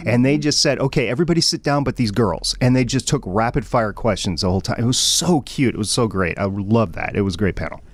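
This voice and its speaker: A man's voice: medium pitch, fast, hardly any pauses, no echo. Enthusiastic man recounting an event, dry sound. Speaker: podcast panelist. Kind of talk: casual roundtable chat. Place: radio studio.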